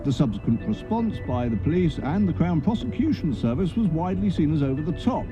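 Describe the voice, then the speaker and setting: A man's voice: fairly high pitch, big pitch swings, brisk. A man reading a sober, scripted news report as a radio presenter. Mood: serious. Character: sober male news reader. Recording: music clearly behind the voice.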